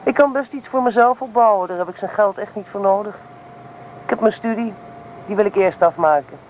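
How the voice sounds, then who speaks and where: An actress playing a headstrong, teasing young student in a radio play, blunt and self-assured in naturalistic dialogue. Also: female voice, medium pitch, fairly quick, darkish tone, lightly acted. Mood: dreamy, determined. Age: young adult. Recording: noisy old radio play recording.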